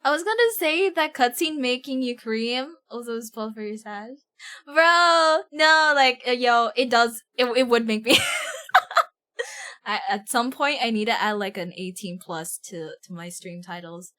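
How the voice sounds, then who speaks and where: A woman hosting a casual game livestream, chatting in a giggly, excitable, gushing way with plenty of swearing. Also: fairly high pitch, measured pace. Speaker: livestream host.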